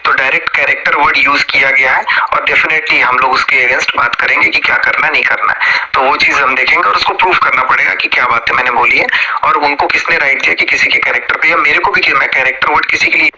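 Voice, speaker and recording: A man's voice: fairly high pitch, quick pace. A man in an interview, explaining at length in a rambling, casual way and often checking in with his listener. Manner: rambling, keeps checking in with "okay?". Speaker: interview guest. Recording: loud background noise.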